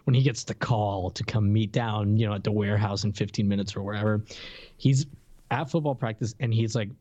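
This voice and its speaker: A man's voice: fairly low pitch, steady tone, brisk, mellow, dry recording, lo-fi sound. Man co-hosting a podcast, dry close sound. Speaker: podcast co-host. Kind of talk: casual chat reviewing old cartoons.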